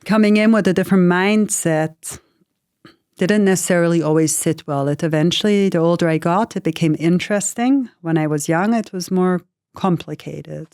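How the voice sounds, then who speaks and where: Woman in her forties, interview guest, lowish voice, dry close sound, frank, chatty. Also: normal speed, a little mellow, no room sound. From Switzerland. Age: 40s. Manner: frank, uses fillers like "you know" and "right". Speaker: guest, AI lead in pharma. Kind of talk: casual interview conversation.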